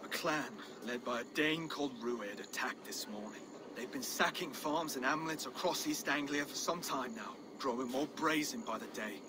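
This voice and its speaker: A man's voice: medium pitch, moderate pace, background noise, natural voice. An actor playing an earnest, courteous, mild-mannered Saxon nobleman in a video game cutscene. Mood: uneasy, polite. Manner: courteous, earnest, soft-hearted.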